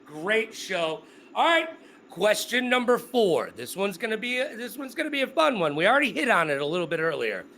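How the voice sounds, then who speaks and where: A man's voice: sits high, very animated, a little fast, sharp tone, slight background noise. A man hosting an interview, casual, joking and chatty. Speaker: interview host. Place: Las Vegas.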